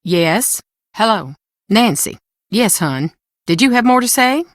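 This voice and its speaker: An actress playing a proud, weary, sharp-tongued middle-aged Southern mother in a video game, with a Southern US accent and a blunt, folksy manner. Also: mid-range voice, lively intonation, slow, no room sound. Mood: curt, strained.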